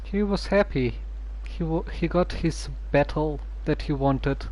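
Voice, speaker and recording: A male streamer chatting casually over his game. He talks slowly and stumbles over hard words. His voice sits a little high. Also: noisy background.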